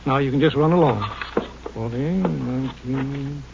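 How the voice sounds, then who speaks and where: An actor playing a gruff, folksy old storekeeper in a 1950s radio drama. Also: male voice, medium pitch, measured pace, darkish tone, a little hiss or hum. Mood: folksy, wry. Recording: muffled old radio recording.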